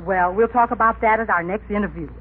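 An actress playing a proper, businesslike club interviewer in an old radio comedy, speaking formally. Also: female voice, quick pace, close to an everyday voice. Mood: polite, businesslike. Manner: formal, businesslike, high-toned. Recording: scratchy old radio broadcast, narrow band.

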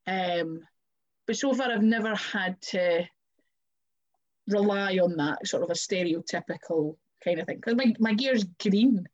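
A woman's voice: medium pitch, unhurried, darkish tone. Scottish woman, wrestler guest, chatty, acts out conversations, slightly dull lo-fi sound. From Scotland. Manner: chatty, acts out quoted exchanges. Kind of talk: casual chat.

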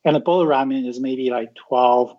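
A man from Taiwan, a podcast guest, explaining things in a casual, unhurried way, with frequent restarts and "right?" tags. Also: mid-range voice. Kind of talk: casual interview talk. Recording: muffled lo-fi audio.

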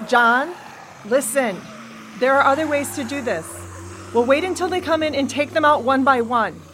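A woman's voice: high-pitched, normal speed, noisy background, close to an everyday voice. A woman acting a practical, caring survivor in a post-apocalyptic audio drama. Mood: urgent, reasoning. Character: tough, level-headed female survivor, practical and caring.

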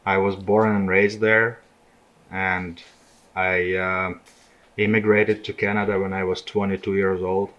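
A man's voice: low voice, flat delivery, measured pace, lo-fi sound. A man in his thirties from Ukraine, speaking with a Ukrainian accent as a guest in a casual podcast chat, explaining things plainly with small hesitations. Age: 30s.

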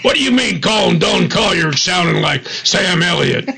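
A man's voice: sits high, expressive, normal speed, gravelly, very noisy. An older man co-hosting a radio show with casual, folksy, jokey banter. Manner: folksy, jokey, colorful old-time phrasing. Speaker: radio co-host. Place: radio studio.

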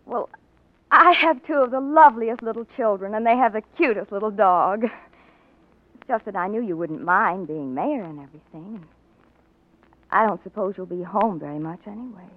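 An actress playing a genteel, earnest wife and young mother in a 1940s radio drama, speaking politely and gently. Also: mid-range voice, moderate pace, lightly acted. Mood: anxious, confiding. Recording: tinny narrow old radio recording.

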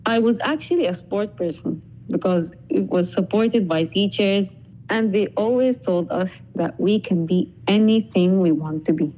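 A young woman from Sudan appears as a podcast guest. Her voice is warm and mellow at a mid pitch, and she reads prepared lines in a reflective manner. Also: moderate pace, poor audio. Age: young adult. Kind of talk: scripted podcast conversation.

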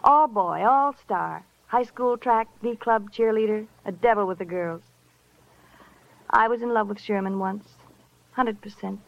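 A woman's voice: mid-range voice, measured pace, creaky. A woman playing a flirtatious, sardonic, worldly fiancée in a 1950s radio crime drama, languid and knowing. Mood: coy, sardonic. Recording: dull vintage radio recording.

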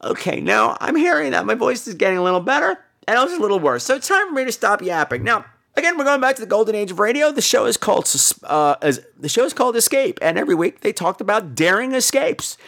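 A man hosting a podcast in a campy, irreverent, rambling way, his voice hoarse from laryngitis. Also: high voice, sing-song, fast, hardly any pauses, fairly bright tone. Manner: campy, irreverent, crude joking. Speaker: podcast host. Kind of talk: rambling comic casual chat.

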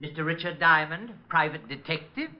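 An actor playing a cheerful, deluded eccentric, earnest and primly insistent, in old-time radio comedy style. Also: male voice, on the high side, expressive, normal speed, soft background music, close to an everyday voice. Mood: eager, polite. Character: cheerful deluded eccentric man boasting of grand talents. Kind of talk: 1950s comedic radio acting. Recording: muffled old radio recording.

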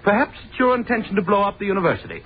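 An actor playing a stern, exasperated university professor, speaking in a clipped, pedantic, sarcastic way in a 1930s radio drama. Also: male voice, sits high, very animated, racing, breathy edge, phone-quality sound, full character voice. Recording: thin, noisy 1930s radio recording.

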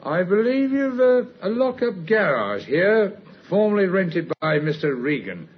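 An actor playing a polite, diligent police sergeant, courteous and formal, in old-time radio drama. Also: male voice, sits high, very animated, measured pace, background noise. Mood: polite, apologetic. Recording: dull, lo-fi old radio recording.

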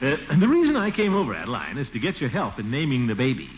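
A man's voice: mid-range voice, huge pitch swings, fast. A noisy, muffled vintage radio recording carries a pompous middle-aged American bachelor. His voice swoops extravagantly up and down as he blusters and chuckles. He is a self-important, easily embarrassed figure from 1940s radio comedy. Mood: bashful, flustered.

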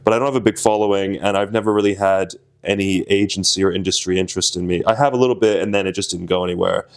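Fast-talking young man, low voice. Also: steady tone. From Slovenia. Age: 20s. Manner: rambling, self-deprecating, many restarts. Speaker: comedian guest. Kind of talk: casual interview chat.

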